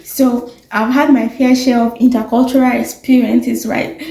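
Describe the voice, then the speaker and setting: A woman's voice: a little high, flat delivery, measured pace, gravelly, warm tone, reverberant, rough recording. A young woman with a Nigerian accent, chatting casually to camera, rambling with fillers and corrections. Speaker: vlogger.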